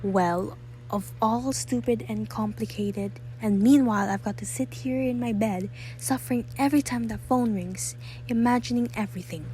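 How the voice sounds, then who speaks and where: Woman acting a high-strung, demanding invalid wife. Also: normal speed, mellow, soft background music, lightly acted, low-quality recording. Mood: irritated, indignant. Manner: querulous, imperious, highly strung. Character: nervous, demanding, self-pitying bedridden invalid wife. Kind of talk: old-time radio thriller acting.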